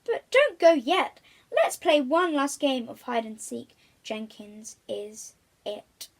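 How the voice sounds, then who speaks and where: A woman's voice: high-pitched, sing-song, takes it slowly, exaggerated voice. A woman voicing a rich, lonely, childlike male crocodile in a children's storybook, with an eager, exclaiming delivery.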